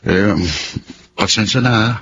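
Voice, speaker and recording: An actor playing a principled, gentle male doctor in a Filipino radio drama, speaking in a measured, earnest way. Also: lowish voice. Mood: firm, apologetic. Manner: measured, earnest, polite but firm. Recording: dull lo-fi audio with noise.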